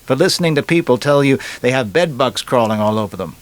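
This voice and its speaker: A man's voice: mid-range voice, animated, fast, voice pushed up, lightly acted. A man voicing a glib, joking, resentful young American guy in a chatty, punchy storybook manner. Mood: jokey, showy. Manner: chatty, wisecracking, punchy emphasis.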